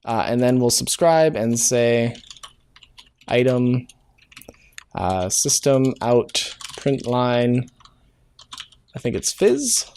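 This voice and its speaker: A man's voice: medium pitch, big pitch swings, very slow, pauses often, darkish tone, slight background noise. A man hosting a programming tutorial, talking casually as he codes, thinking aloud, hesitating and correcting himself with many filler sounds. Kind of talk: casual live-coding walkthrough.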